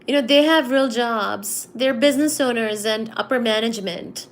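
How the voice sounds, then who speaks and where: A young woman from India hosts a casual livestream, her voice sitting slightly high. She reads viewer comments aloud and teases the chat in an easygoing way. Her energy is low today. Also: normal speed, a little hiss or hum. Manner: chatty, teasing, reads chat aloud and answers it. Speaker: livestream host.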